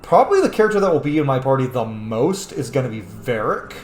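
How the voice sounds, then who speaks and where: A man's voice: medium pitch, very animated, fast talker, echoey room. A man, a young adult, hosting a gaming video with casual, sweary, emphatic commentary. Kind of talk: casual let's-play commentary.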